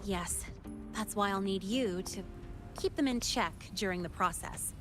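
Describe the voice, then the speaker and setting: Woman acting young female hacker, video game character, confident, playful, casual explainer, music clearly behind the voice. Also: medium pitch, unhurried, natural voice. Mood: focused. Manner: casual, self-assured, explanatory.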